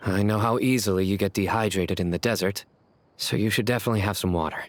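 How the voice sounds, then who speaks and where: A man's voice: on the low side, fairly even intonation, quick pace, lightly acted. An actor playing a practical, caring young male mentor in a video game, considerate and matter-of-fact. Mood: attentive.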